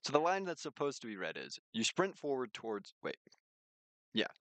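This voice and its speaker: A man with a mid-pitched voice, recorded close and dry. He chats casually as a game streamer, in a playful way that often interrupts itself. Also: wildly swooping pitch, moderate pace, a bit bright, no room sound. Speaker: streamer hosting a game playthrough. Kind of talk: casual gaming livestream chat, reading game text aloud.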